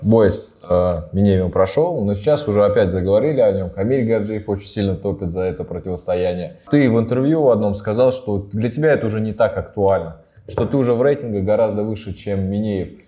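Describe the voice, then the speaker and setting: A man hosting a podcast, asking measured interview questions in a studio. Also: lowish voice, mellow, muffled recording. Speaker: podcast host. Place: studio room with brick wall.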